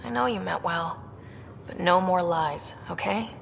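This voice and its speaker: An actress playing a plucky, teasing young woman with a creaky voice in a sci-fi video game. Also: fairly low pitch, a little slow. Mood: wry. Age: young adult. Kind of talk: naturalistic video game cutscene acting. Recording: echoey reverb with clear background noise.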